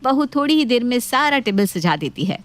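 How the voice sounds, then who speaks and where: Higher-pitched woman narrating a story. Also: sing-song, normal speed, soft background music.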